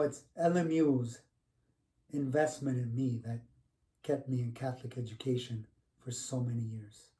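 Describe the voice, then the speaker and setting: A middle-aged man with a mellow voice, acting out a devoted educator and grateful son in a conversational stage monologue. He sits back from the mic in a room, sounding slightly distant. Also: mid-range voice, lightly acted. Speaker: actor performing a monologue. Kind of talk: dramatized first-person narrative, conversational. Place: small home room, indoors.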